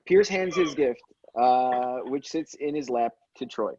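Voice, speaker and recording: A man's voice: mid-range voice, measured pace, dark tone, dry recording. A man reading stage directions as the narrator of a scripted table read. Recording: dull, slightly lo-fi sound.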